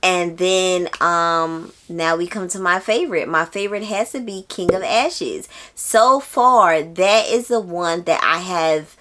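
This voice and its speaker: A woman's voice: fairly low pitch, takes it slowly, few pauses, fairly bright tone. A woman talking casually to viewers as a book channel host, conversational and emphatic, often repeating phrases to stress a point.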